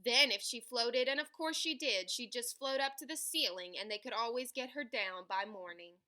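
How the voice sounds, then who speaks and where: A woman reading a children's picture book aloud in an animated, dramatic way, stretching key words for emphasis. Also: on the high side, moderate pace. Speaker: read-aloud storyteller.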